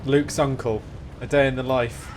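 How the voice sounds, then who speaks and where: A man's voice: medium pitch, level tone, normal speed, slight background noise. A man live streaming a game, chatting casually in a rambling, jokey way. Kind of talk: casual gaming chat.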